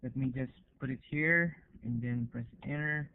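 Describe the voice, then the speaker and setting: A man's voice: medium pitch, normal speed, narrow, tinny audio. A man with a Filipino accent giving an informal academic presentation over an online call, explaining step by step in a hesitant way and checking in as he goes. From Philippines. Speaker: university researcher presenting.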